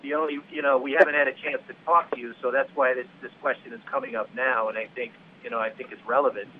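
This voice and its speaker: A man's voice: medium pitch, little pitch movement, fast. A male sports reporter on a conference call, pressing with follow-up questions. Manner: persistent, presses follow-ups. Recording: phone line with faint hiss.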